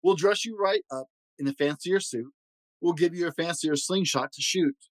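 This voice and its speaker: A man's voice: fairly high pitch, normal speed, no room sound, voice pushed up, close to an everyday voice. A man voicing a confident, breezy, scheming chief who reassures emphatically in rhyme for a storybook. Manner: reassuring, emphatic rhyming speech.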